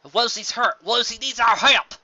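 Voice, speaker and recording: A folksy, practical farm mare from a cartoon fan story, acted out by a narrator. The voice is forceful and steady in temper, recorded close and dry. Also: mid-range voice, moderate pace, no echo, muffled recording, voice pushed up. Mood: urgent. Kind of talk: fan fiction character voice.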